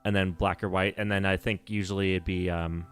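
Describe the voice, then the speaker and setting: A man's voice: deep voice, flat delivery, fairly quick, clean tone, soft background music. A man chatting casually on a game livestream, rambling and correcting himself as he goes, with a nerdy, laughing manner. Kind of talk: casual livestream chat while playing.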